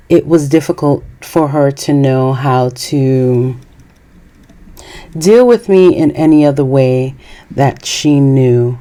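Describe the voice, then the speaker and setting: Slow, low-voiced woman speaking deliberately. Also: dark tone, a little hiss or hum. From born in Haiti, raised in New York. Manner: measured, deliberate, earnest. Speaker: podcast host. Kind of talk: personal reflective monologue.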